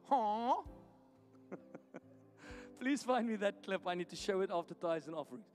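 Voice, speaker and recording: A man's voice: sits high, lively intonation, measured pace, darkish tone. A pastor with a South African accent and Afrikaans as his first language, preaching a blunt, teasing, emphatic sermon in a church hall. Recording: echoey hall with music.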